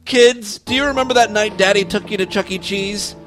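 A man's voice: high voice, brisk, a bit bright, faint music behind, pitched above the performer's own voice. A man voicing a manic, lecherous husband in a theatrical, grandiose comic story. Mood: confessional, desperate.

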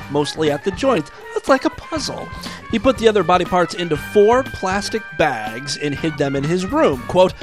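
A man hosting a comic podcast, mocking the news in a crude, joking way. His voice sits a little high for a man. Faint music plays under him. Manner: crude, mocking, laughs at own jokes. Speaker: podcast host. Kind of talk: comedic news and voicemail show.

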